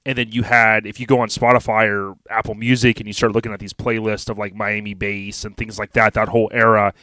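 A man's voice: lowish voice, fairly quick, dry recording. Laid back guy hosting a podcast, easygoing chatty delivery, dry close sound. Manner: relaxed, chatty, friendly. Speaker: podcast host. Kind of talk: casual podcast interview.

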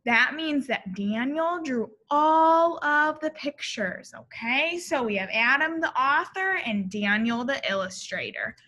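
A woman in her thirties, an expressive teacher reading a picture book aloud to young children and explaining deliberately. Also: sits high. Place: school classroom, indoors.

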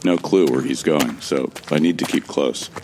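A man's voice: rumbling low, normal speed, noisy background. A man narrating a sci-fi audio drama in the first person, in a wry, joking and casual way. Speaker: narrator and protagonist.

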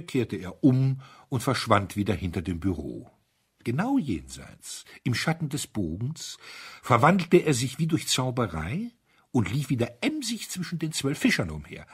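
A man with a husky voice narrating a detective story in an expressive, theatrical way. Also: fairly low pitch. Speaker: audiobook narrator.

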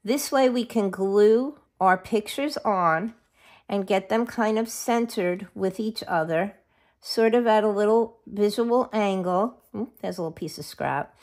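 A woman's voice: medium pitch, a little slow, a little mellow. A middle-aged woman with a scratchy voice from a cold, chatting casually while she shows a craft project. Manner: chatty, self-interrupting, forgets names of supplies. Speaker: craft video host.

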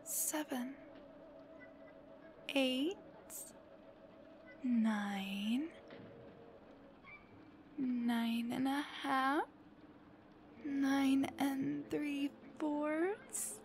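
A woman playing a playful, clingy, affectionate young girlfriend in an intimate ASMR roleplay, soft and breathy, often near a whisper, with a cutesy, pleading way of talking. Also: sits high, extremely slow, pauses often, a little hiss or hum, exaggerated voice. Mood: teasing, affectionate.

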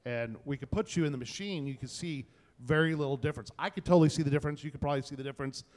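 A man's voice: on the high side, rapid-fire, darkish tone. A man talking casually and enthusiastically as a panelist, in an informal, colloquial way. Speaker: panelist, tech content creator. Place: indoor event space before a small audience. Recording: reverberant room, panel event.

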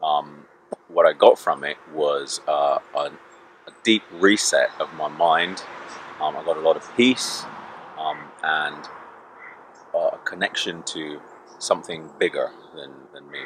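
A man in his thirties giving a calm, hesitant testimonial outdoors by some trees. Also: a bass voice, a little hiss or hum, low-quality recording. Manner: calm, slow, hesitant with many ums. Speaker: retreat participant. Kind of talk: short testimonial. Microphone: camera at close range.

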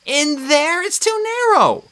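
Lady doing a brisk teen girl fighter, quick and decisive, bouncy high voice. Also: very animated, fairly bright tone, heavily acted. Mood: alarmed. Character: brisk, determined teenage girl demigod.

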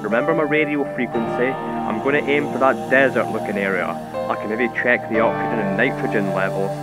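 An actor playing a sardonic, wary space colonist with a dry, muttering manner in a sci-fi audio drama. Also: male voice, medium pitch, steady tone, a little fast, over loud music, natural voice.

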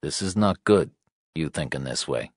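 Narrator as male police detective, deep, calm, weary, low-key, dry delivery, close dry sound, audiobook. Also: moderate pace, husky, no echo, full character voice. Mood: patient, tender.

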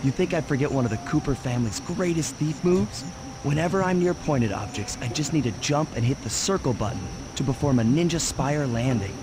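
A man voicing a cocky, smooth young raccoon master thief in a video game, confident and breezy. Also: mid-range voice, fairly quick, noisy background, lightly acted. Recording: game voice over music.

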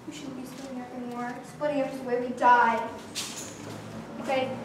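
A high, youthful voice of a girl playing a fretful, rambling girl afraid of splitting up. She is recorded from far off in a roomy, echoing warehouse with music underneath. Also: level tone, fairly quick, noisy background, lightly acted. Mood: anxious. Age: young. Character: nervous girl in a sleepover horror film. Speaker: young actor in a student film. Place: empty warehouse, indoors. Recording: echoey empty warehouse, camera far off, music over.

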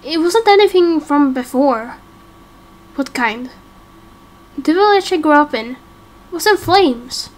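Slow, high-pitched woman acting out a story. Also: lively intonation. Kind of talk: amateur voice acting for a game roleplay story.

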